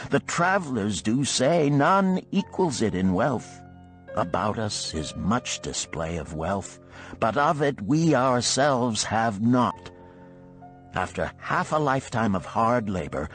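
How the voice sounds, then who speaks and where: A man plays a middle-aged craftsman of ancient Babylon, weary of being poor. He declaims earnestly in archaic phrases, with pitch that rises and falls widely. The pace is measured, as in an old parable. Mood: exasperated, aggrieved.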